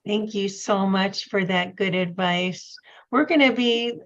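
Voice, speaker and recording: Female event host, courteous and unhurried, mid-range pitch, slightly lo-fi call audio. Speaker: host of a library poetry event. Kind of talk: informal hosting and thanking guests. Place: at home, on an online video call.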